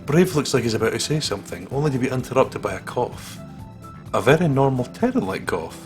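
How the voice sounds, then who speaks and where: A man's voice: medium pitch, sing-song, a little fast, darkish tone, soft background music. A man co-hosting a let's play, casually reading game text aloud and chatting in a jokey way.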